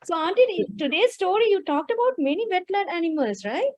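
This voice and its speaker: A woman on a video call casually explaining animals to children, a little halting and checking in as she goes. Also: very high-pitched, big pitch swings, dry recording, lo-fi sound. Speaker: guest explainer on wetland animals. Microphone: video call microphone.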